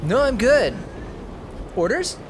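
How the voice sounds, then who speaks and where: Animated, hesitant man over storm noise. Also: extremely high pitch, slow. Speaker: player in a tabletop game. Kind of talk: casual improvised roleplay. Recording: clear storm noise behind voice.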